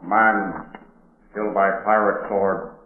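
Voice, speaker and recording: A big, solemn cave guide from an old radio serial. He speaks slowly in terse, broken English with a low voice and sounds wary of spirits. The recording is tinny and echoey. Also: steady tone, a little hiss or hum. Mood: grave. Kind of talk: old-time radio drama acting.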